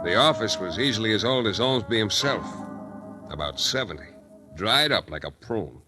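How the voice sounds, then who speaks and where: A man's voice: lowish voice, lively intonation, normal speed, music in the background, natural voice. An American man playing a wisecracking, hard-boiled private detective in 1940s radio style, wry, breezy and slangy. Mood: wry narration. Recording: noisy 1940s radio transcription recording.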